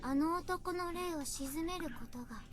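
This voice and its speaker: An actress playing a composed, solemn young advisor in a video game, speaking politely and formally with measured phrasing. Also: female voice, extremely high pitch. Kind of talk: Japanese video game voice acting. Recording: game audio with background ambience noise.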